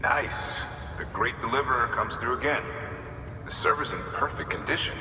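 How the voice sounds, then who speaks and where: A man's voice: medium pitch, normal speed, natural voice. A man acting as a grateful, eager distribution center worker in a video game, effusive and welcoming. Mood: pleased, grateful. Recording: distant, echoey hall with background noise.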